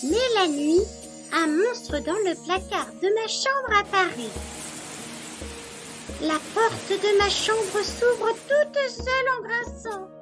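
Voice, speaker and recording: A woman's voice: very high-pitched, expressive, takes it slowly, music in the background, heavily acted. A woman playing a timid three-year-old girl telling her story simply in a children's storybook. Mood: timid, confiding.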